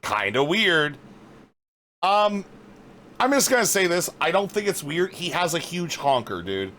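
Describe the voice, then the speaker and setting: Snarky streamer dude, bouncy slightly high voice, faint hiss behind. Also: male voice, very animated, measured pace, pushed and bright. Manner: sarcastic, swears, quips at the video. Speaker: streamer reacting to a video. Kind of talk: casual live-stream reaction.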